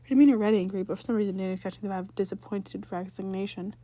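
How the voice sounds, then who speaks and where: A woman's voice: mid-range voice, fairly even intonation, very fast, mellow. A woman reading fan fiction aloud as a story narrator, sometimes stumbling and rereading phrases.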